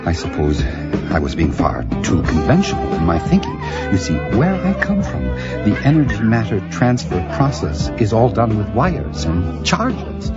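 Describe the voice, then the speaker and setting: Comic audio drama: earnest, literal-minded alien man, halting and courteous, lowish lively voice over music. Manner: formal, halting, overly literal speech. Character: naive, polite male alien robot-like visitor among humans. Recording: dull lo-fi sound, music behind.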